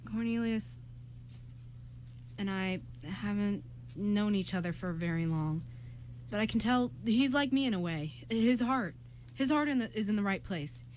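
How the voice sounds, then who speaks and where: A woman voicing an earnest, kind young man in an amateur radio play, with halting delivery. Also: mid-range voice, animated, darkish tone, a little hiss or hum, natural voice. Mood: earnest, pleading.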